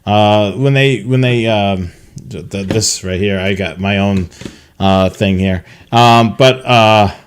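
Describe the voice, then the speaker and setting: A man co-hosting in casual chat, speaking in a loose, halting, informal way. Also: lowish voice, clean tone. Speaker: co-host.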